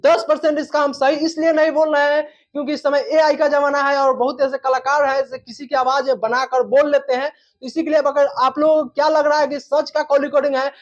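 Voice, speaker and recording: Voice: high-pitched, flat delivery, brisk, hardly any pauses. A news presenter giving an informal commentary on viral news in repetitive, wordy phrasing. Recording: dull lo-fi recording.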